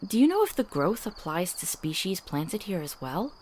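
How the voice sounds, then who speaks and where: Woman as a wry, lively, low-voiced young apothecary. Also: moderate pace, slight background noise. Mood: curious. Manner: wry, questioning. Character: curious, skeptical young apprentice apothecary woman. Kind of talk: visual novel voice acting.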